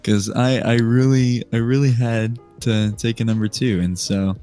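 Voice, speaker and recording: A man of nineteen with an American accent, chatting and telling stories as a podcast guest in a hesitant, unhurried way. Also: mid-range voice, fairly even intonation, clean tone. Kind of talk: casual storytelling chat. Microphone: remote voice call microphone. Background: soft music.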